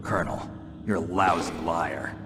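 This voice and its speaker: Very deep, world-weary ex-soldier. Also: male voice, normal speed, vocal fry. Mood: defiant. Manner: terse, sardonic. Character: world-weary retired male soldier, cynical, defiant. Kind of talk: video game cutscene voice acting. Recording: long reverb, music underneath.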